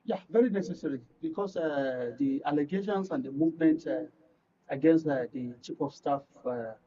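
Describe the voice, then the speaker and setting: A man with a Nigerian accent commenting as a guest on a live political panel, explaining in a halting, measured way. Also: fairly high pitch, dark tone, a little hiss or hum. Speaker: studio guest, public affairs commentator. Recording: muffled, distant-mic broadcast audio.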